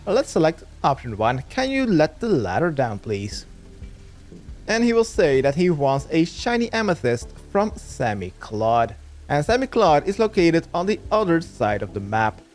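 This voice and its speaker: Guy doing a step by step game guide, pitch moves around a lot, music behind him. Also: very animated, measured pace, a little mellow. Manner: step-by-step, instructional. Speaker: gaming guide creator. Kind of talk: instructional game walkthrough narration.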